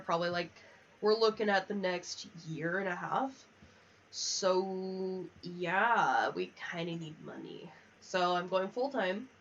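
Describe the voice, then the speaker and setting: A young American woman rambling casually on a livestream while gaming, chatty and unfiltered with frequent swearing. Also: fairly low pitch. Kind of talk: casual rambling livestream chat over a video game. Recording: dull lo-fi mic with clear background noise.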